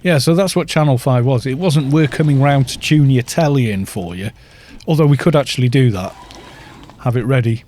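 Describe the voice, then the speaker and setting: A man's voice: mid-range voice, moderate pace, a little mellow, a little hiss or hum. A British man in his fifties chatting in a rambling way while streaming a game and reading out viewer chat. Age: 50s. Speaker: gaming streamer.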